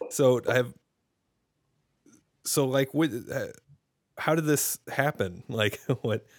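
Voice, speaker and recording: A man's voice: mid-range voice, slow, no room sound, clean studio sound. A man hosting a podcast over a video call, chatting casually with lots of restarts and hesitant questions. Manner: rambling, hesitant, many restarts and laughs. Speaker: podcast host.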